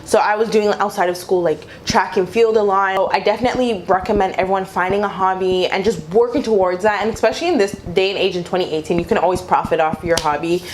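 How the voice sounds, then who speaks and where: A woman's voice: medium pitch, fairly even intonation, quick pace, few pauses, a little hiss or hum. A young adult woman chatting casually to viewers as a vlogger, giving advice in an informal way and repeating phrases for emphasis.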